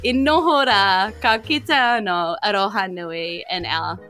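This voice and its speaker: A woman's voice: mid-range voice, unhurried, pushed and bright, faint music behind. A woman with a New Zealand accent chatting casually and effusively as a podcast host and game master. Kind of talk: casual chat.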